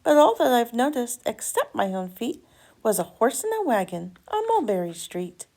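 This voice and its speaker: A woman reading a rhyming children's picture book aloud with animated, dramatic emphasis and drawn-out words. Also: fairly high pitch.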